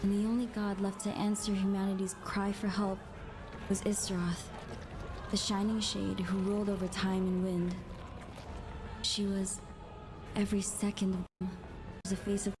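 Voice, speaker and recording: A woman's voice: mid-range voice, flat delivery, unhurried, pauses often. A woman reading scripted narration in a solemn, formal storytelling manner. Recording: music under narration, played from video. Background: music.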